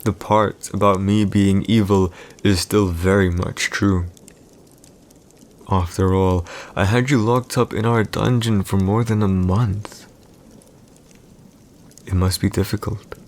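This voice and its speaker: A deep-voiced, cold emperor acted out by a man holds court in an audio roleplay. He is proud and calculating, weighing each phrase with deliberate, imperious pauses. A faint bed of ambient noise sits behind his voice. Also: level tone. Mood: cold, menacing. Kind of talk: scripted boyfriend audio roleplay, slow dramatic monologue.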